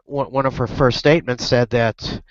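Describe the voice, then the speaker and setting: A man's voice: medium pitch, fairly even intonation, moderate pace, no echo, lo-fi sound. A man with a cold-stuffed voice, a reporter rambling through a casual web broadcast chat with many restarts and fillers. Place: airport lounge.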